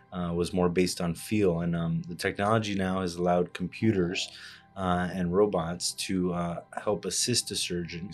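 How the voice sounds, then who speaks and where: A man in his thirties from Cuba, raised in Miami, an orthopedic surgeon explaining his work in a measured way with many fillers, in a studio podcast on a desk mic. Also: deep voice. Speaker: orthopedic surgeon, interview guest. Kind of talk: hospital podcast interview. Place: small studio set with plant and armchairs. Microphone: desk podcast microphone on arm.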